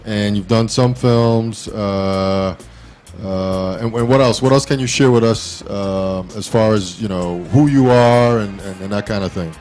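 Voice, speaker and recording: Halting, informal male talk show host. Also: on the low side, a little mellow, faint music behind. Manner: halting, informal questioning with brief acknowledgements. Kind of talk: casual interview.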